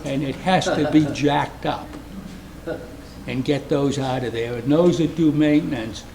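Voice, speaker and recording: A man's voice: fairly high pitch, creaky. A man from Boston with a Boston accent, giving a folksy, joking acceptance speech to an audience at an event. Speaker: award acceptance speaker, trail maintainer. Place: event hall before an audience.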